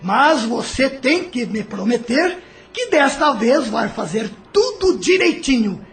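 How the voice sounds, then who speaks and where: Um ator interpretando um espírito maligno dominador, debochado e manipulador em uma novela de áudio. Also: male voice, extremely high pitch, big pitch swings, takes it slowly, a bit bright, heavily acted. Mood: bossy scheming. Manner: mocking, manipulative, theatrical. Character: domineering, scheming male evil spirit, sly and vengeful. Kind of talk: audio drama acting.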